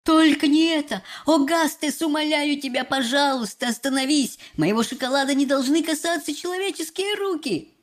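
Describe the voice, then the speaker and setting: Man doing an animated eccentric factory owner. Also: high-pitched, moderate pace, voice pushed up. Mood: horrified pleading. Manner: animated, dramatic, polite. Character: excitable, theatrical eccentric male factory owner. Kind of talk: audiobook character voice.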